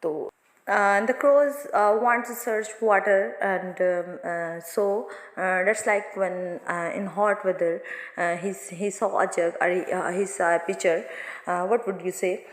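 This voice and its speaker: A woman teacher explaining a moral story to students in halting, non-native English phrasing. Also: mid-range voice, steady tone. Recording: echoey room with long reverb.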